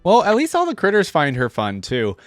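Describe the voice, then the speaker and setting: A man's voice: fairly high pitch, wildly swooping pitch, a little fast, soft background music. A man hosting a reaction video, casually reading out lore and thinking aloud about it.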